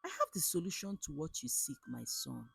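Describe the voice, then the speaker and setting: A woman voicing a mysterious old male medicine man in a folktale, solemn and deliberate. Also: fairly low pitch, wildly swooping pitch, gravelly, slightly rough, soft background music, heavily acted. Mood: solemn, commanding. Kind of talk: storybook folktale character.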